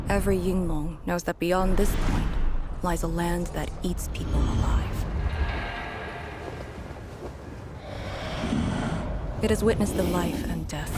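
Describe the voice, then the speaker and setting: A woman voicing a grave, resolute veteran leader in a video game, speaking in a measured, solemn way. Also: on the low side, darkish tone, lightly acted. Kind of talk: scripted video game dialogue.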